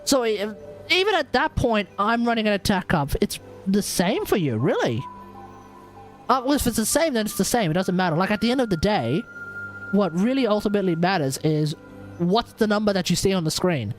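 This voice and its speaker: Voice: medium pitch, very animated, fairly quick, faint music behind. A game streamer chatting casually and rambling through builds in an incredulous, sweary way. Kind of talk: casual livestream chat about game builds.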